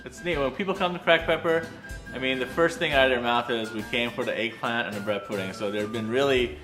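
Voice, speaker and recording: A man's voice: mid-range voice, brisk. A man talking casually about a restaurant as an interviewee in a profile video. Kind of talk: casual talk in a promo profile. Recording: guitar music behind the voice.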